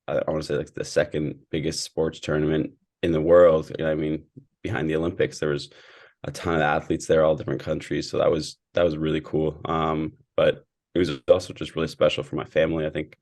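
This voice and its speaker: A young man from Sweden, a student athlete answering interview questions in a measured way with many fillers. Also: extremely low pitch, darkish tone. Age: young adult.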